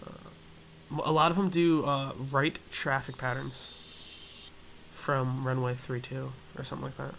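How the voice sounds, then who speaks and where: A man's voice: mid-range voice, level tone, unhurried, creaky, fairly bright tone, slight background noise, rough recording. A man giving a casual flight simulator tutorial, explaining each step in an offhand, hesitant way. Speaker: gaming tutorial host.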